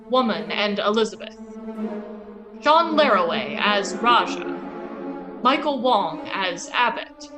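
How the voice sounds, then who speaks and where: Female radio-show announcer, slightly high voice, unhurried scripted credits over clear music. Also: rough recording.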